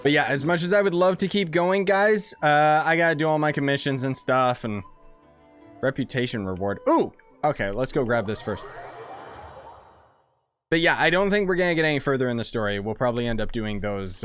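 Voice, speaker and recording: A young man casually commenting on a game during a live stream, thinking aloud in a relaxed, chatty way. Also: mid-range voice, moderate pace, clear, resonant tone, faint music behind.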